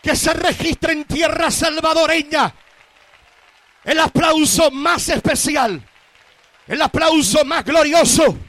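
A man's voice: very high-pitched, takes it slowly, a bit bright, no room sound, a faint crowd. A man with a Puerto Rican accent preaching a sermon to a congregation, emphatic and repetitive, addressing his listeners directly. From Puerto Rico. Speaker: guest preacher.

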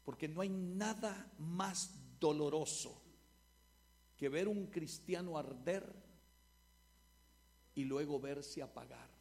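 High-pitched, gravelly Salvadoran pastor preaching. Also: male voice, slow, pauses often. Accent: Salvadoran Spanish. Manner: preaching with rising, shouted repetition. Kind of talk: Pentecostal-style sermon.

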